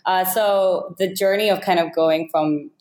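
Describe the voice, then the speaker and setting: Female podcast guest from Malaysia, informal delivery, lowish pitch, echoey recording. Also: steady tone, a little slow, a little mellow. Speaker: startup founder, podcast guest. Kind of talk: casual interview answers. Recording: echoey, roomy sound.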